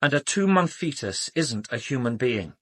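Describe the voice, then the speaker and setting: A man's voice: mid-range voice, very animated, moderate pace, a bit bright, slightly rough. An actor with a British accent playing an arrogant doctor in a radio drama, haughty, precise and emphatic in his own defence. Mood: self-justifying. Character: arrogant, self-justifying male doctor under police questioning.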